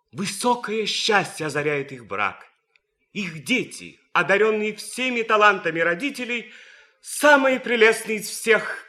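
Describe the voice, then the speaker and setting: An actor playing an idealistic, romantic young German officer in a radio play, declaiming rhapsodically with deliberate pauses. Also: male voice, high voice, bright, forceful tone. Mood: rapturous.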